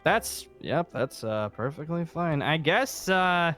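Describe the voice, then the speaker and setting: A man's voice: fairly high pitch, expressive, slow, fairly bright tone, faint music behind. A man playing a weary, cautious leader of a zombie-apocalypse safe haven in an improvised game roleplay, talking hesitantly and trailing off. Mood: reluctant, instructive.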